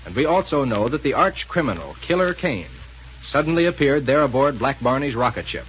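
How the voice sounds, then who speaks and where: A man's voice: mid-range voice, animated, a little fast, fairly bright tone, dull audio. A man working as announcer on a 1930s radio serial, reading scripted narration and sponsor copy. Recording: noisy 1930s radio recording.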